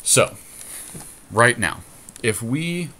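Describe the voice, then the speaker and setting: A man co-hosting a gaming podcast, talking casually and deliberately as he works out strategy aloud during a card game. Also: medium pitch, expressive, pushed and bright, a little hiss or hum. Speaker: podcast co-host commentating on own card game play.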